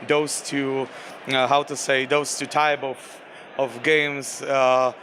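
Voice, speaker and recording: A game designer with a Slovak accent talks in a flat, even tone. He hesitates and restarts often. Faint traffic noise sits behind him outdoors. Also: medium pitch. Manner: halting, many fillers and restarts. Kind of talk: convention interview.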